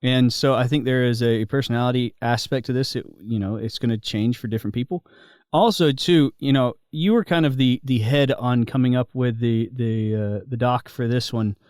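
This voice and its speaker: Man, middle pitch, friendly podcast host, loose halting delivery, dry close mic. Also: a little mellow, dry recording. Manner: easygoing, hesitant restarts, friendly. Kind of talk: casual podcast chat.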